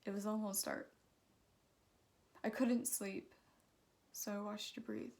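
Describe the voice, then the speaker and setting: Woman reciting slam poetry, restrained pitch. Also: level tone, slow, gravelly, a little mellow. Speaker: slam poet.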